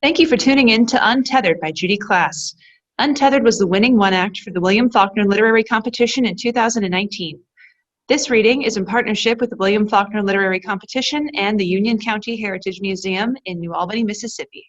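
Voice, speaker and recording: A woman introducing a play reading and reading its stage directions in a clear, measured way. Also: mid-range voice, lo-fi sound. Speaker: narrator.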